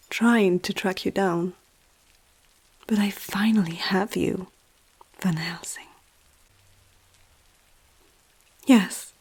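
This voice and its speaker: A woman playing a powerful, seductive, possessive vampire aristocrat in an ASMR roleplay, speaking softly and often whispering, with a teasing, regal menace. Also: medium pitch. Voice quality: soft, breathy, often dropping to a whisper. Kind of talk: ASMR roleplay, intimate slow scripted monologue.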